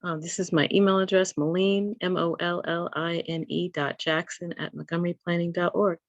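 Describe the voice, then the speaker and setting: A woman's voice: fairly low pitch, flat delivery, brisk. A woman moderating an online community meeting as co-host, encouraging and welcoming to those taking part. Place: indoor room, online meeting. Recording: roomy, muffled video-call audio.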